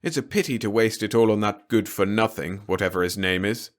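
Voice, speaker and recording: A man's voice: lowish voice, a little fast, natural voice. A man voicing a grumpy, pompous, disapproving middle-aged father in a storybook reading. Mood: grumbling. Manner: blustering, pompous.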